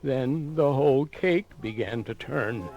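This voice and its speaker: A man's voice: mid-range voice, slow pace, highs cut off. A man narrating a children's storybook record in a theatrical, dramatic style. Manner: theatrical, animated. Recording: 1980 vinyl read-along record with music.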